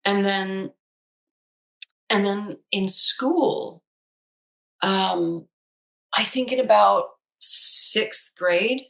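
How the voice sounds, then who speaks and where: A woman's voice: medium pitch, extremely slow, creaky, pauses often, fairly bright tone, low-quality recording. A woman whose first language is Danish, a psychologist in casual conversation, chatty with many fillers and restarts. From Denmark. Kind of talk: casual chat.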